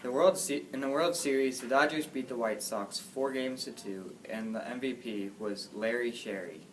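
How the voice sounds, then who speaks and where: A man's voice: mid-range voice, moderate pace. A man reading scripted sports results as a reporter in an amateur newscast, in a matter-of-fact way. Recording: distant mic, faint background noise.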